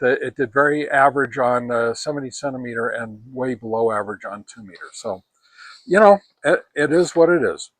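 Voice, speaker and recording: An older man of about seventy gives a casual product review to camera, speaking haltingly and often correcting himself. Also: medium pitch, fairly bright tone, no echo. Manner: halting, hesitant, self-correcting delivery. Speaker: ham radio gear reviewer. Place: outdoors on a wooden deck among trees.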